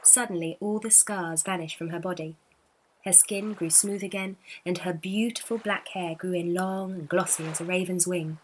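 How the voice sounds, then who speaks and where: A woman storyteller narrating a children's picture book expressively, lingering with deliberate emphasis on key words. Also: fairly low pitch, steady tone, a little hiss or hum, rough recording.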